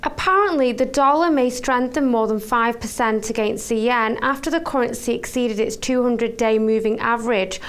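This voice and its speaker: A woman with a clean, resonant voice on the higher side. She speaks as a television journalist, delivering interview questions in broadcast style. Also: normal speed, hardly any pauses, smooth.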